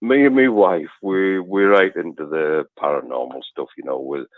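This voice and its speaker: A man with a deep voice, a caller telling a rambling story on a phone-in show and laughing as he goes. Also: fairly even intonation, normal speed, no room sound, phone-quality sound. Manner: chatty, rambling, laughs through his stories.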